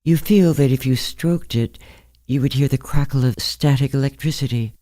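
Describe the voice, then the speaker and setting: A man's voice: medium pitch, moderate pace, dark tone, no room sound, voice pushed up, natural voice. A man voicing an observant, wry young woman care worker in a story, matter-of-fact and thoughtful. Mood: sternly descriptive. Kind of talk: storybook character reading.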